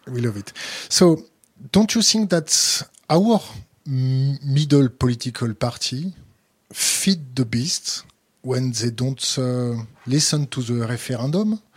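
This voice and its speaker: A man with a French accent asking interview questions, halting and searching for words. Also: medium pitch, lively intonation, breathy edge.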